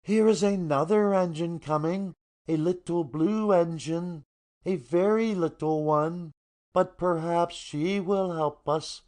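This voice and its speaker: A man's voice: a little high, slow pace, darkish tone. A man playing a cheerful, hopeful little toy clown in a children's storybook reading, eager and encouraging.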